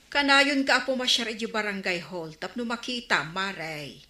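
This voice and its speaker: A woman's voice: mid-range voice, normal speed, pushed and bright, roomy sound, close to an everyday voice. An actress playing a nosy village woman egging on others' suspicion in an Ilocano comedy radio drama, prodding, with an Ilocano accent.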